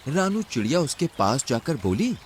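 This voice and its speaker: Lively male children's story narrator. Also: medium pitch, normal speed, a little hiss or hum.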